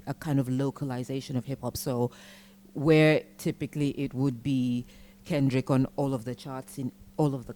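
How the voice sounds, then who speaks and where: A woman's voice: very deep voice, warm tone, slight background noise. A woman interviewing onstage, putting long, probing questions to her guest. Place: conference stage.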